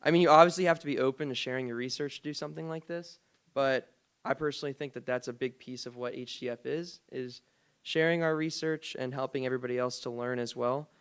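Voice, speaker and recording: A man with a smooth, resonant voice speaks to a forum through a microphone. He presents loosely, thinking aloud and hedging as he goes. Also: mid-range voice, fast, fairly bright tone. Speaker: dance group director presenting to a forum. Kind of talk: casual presentation.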